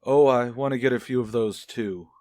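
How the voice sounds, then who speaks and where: A man playing an inquisitive child psychologist, formal and deliberate, in a radio soap opera. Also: mid-range voice, a little mellow, dry recording, natural voice. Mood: measured, curious.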